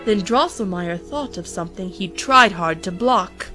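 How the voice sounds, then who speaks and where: A woman's voice: mid-range voice, expressive, a little slow, bright, forceful tone, soft background music. A woman narrating a rhyming parody storybook as a theatrical storyteller.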